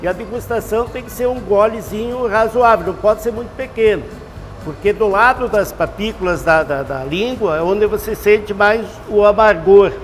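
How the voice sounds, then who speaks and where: A man in his sixties talks through his craft patiently and homely, with a notably high voice. His words ring out with a long reverb, and faint music sits underneath. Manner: unhurried, instructive, folksy. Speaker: master brewer. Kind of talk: explanatory interview answers.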